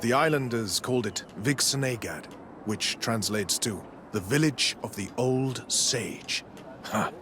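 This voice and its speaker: A man's voice: medium pitch, fairly bright tone, a little hiss or hum, close to an everyday voice. Man playing a preachy, zealous village priest. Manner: formal, preachy, condescending. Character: zealous, smooth-talking priest leading a converts' village. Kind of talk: scripted video game dialogue.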